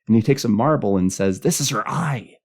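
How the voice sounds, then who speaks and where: A man voicing a gleeful, boastful child in a spooky storybook tale, rattling off a list excitedly. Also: lowish voice, brisk, husky, voice pushed up. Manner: gleeful, boastful, breathless listing. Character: excited, know-it-all child spoiling a spooky game.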